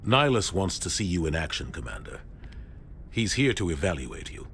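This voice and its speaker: Deep, authoritative military captain. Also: male voice, big pitch swings, moderate pace, a bit bright, a little hiss or hum, heavily acted. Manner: authoritative, businesslike briefing. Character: seasoned male military captain, grave and authoritative. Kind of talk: video game voice acting.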